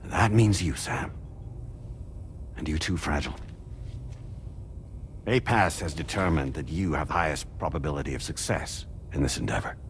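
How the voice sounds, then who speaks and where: An actor playing a stately, courteous corporate president in a game, with a formal, deliberate, authoritative delivery. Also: male voice, rumbling low, slight background noise. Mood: courteous, assured. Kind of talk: scripted game cutscene speech.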